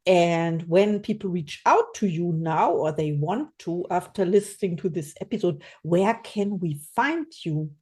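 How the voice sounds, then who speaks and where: A woman's voice: on the low side, animated, unhurried, hardly any pauses, a little mellow, breathy edge. A woman hosting a podcast interview, asking questions and praising her guest effusively. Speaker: podcast host.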